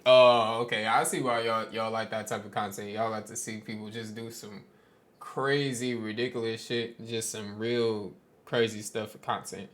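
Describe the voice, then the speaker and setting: A man's voice: fairly low pitch, steady tone, moderate pace, a bit bright. A young man hosting a reaction video, talking bluntly in slang and swearing freely as he reacts. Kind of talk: casual reaction commentary.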